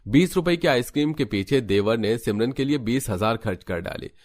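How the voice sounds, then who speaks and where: A man's voice: medium pitch, expressive, normal speed, darkish tone. A man reading scripted narration for a cartoon story as the storyteller.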